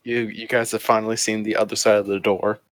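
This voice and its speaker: A man's voice: fairly low pitch, level tone, fast, dry recording. A man running a tabletop game as game master, explaining the world in a loose, filler-heavy way with casual swearing.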